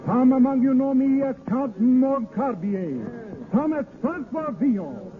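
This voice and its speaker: A man's voice: very high voice, lively intonation, a little slow, slight background noise. A man playing a gallant, defiant poet-vagabond hero in a 1930s radio drama, with florid declamatory delivery, on a tinny old broadcast. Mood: proud, proclaiming.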